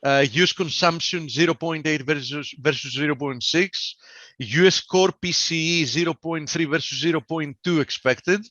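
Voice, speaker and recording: A man's voice: a little high, normal speed, sharp tone, dry recording. Greek-accented man analyzing charts, lo-fi audio. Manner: hesitant, many fillers, reads data aloud. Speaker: market analyst. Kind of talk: live webinar market analysis. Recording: dull lo-fi online call audio.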